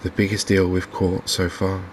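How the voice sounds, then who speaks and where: A soft-spoken man giving unhurried ASMR commentary on a video game. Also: low-pitched, monotone, a little hiss or hum. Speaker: gaming video host.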